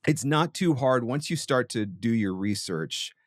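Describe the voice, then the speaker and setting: A man's voice: medium pitch, lively intonation, unhurried, dry recording, studio quality. A man hosting an interview as a voiceover coach, giving conversational advice in an emphatic, explanatory and encouraging way.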